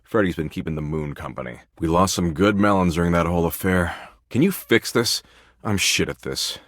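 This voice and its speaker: A man's voice: low voice, moderate pace, fairly bright tone, dry recording, studio quality. A man voicing a video game embodiment of existential dread, a jaded, foul-mouthed slacker with a flippant, laid-back, slangy drawl. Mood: laid-back, grudgingly caring. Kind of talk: video game character voice lines.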